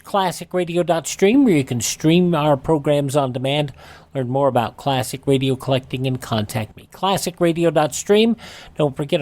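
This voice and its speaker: A man's voice: fairly high pitch, darkish tone. An American man presenting a radio show in a folksy manner, with plugs and sign-off.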